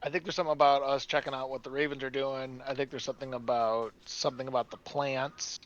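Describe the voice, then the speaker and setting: A man's voice: mid-range voice, little pitch movement, quick pace. A man playing a tabletop role-playing game, teasing and joking with the table. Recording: dull lo-fi sound.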